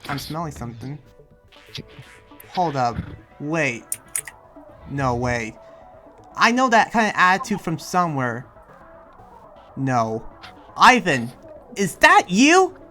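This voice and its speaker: A man playing a flustered young demon slayer in improvised roleplay, halting and exasperated as he repeats himself and defends his side. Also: on the high side, wildly swooping pitch, bright, forceful tone, faint music behind, full character voice. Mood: exasperated, bewildered. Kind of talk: improvised in-character roleplay dialogue.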